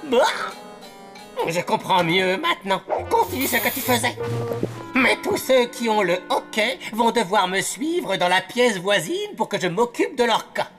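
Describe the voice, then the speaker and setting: An actor playing a brisk, falsely cheerful, bossy quack doctor in a French cartoon dub, theatrical and patronising. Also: male voice, high voice, big pitch swings, fairly bright tone, soft background music, heavily acted.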